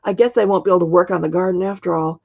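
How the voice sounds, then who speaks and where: A capable storybook magician, read out by a woman, brisk and decisive. Her voice is creaky and husky, and the recording is a little muffled. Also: on the low side, fairly even intonation, a little mellow. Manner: matter-of-fact, decisive. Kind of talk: storybook character reading.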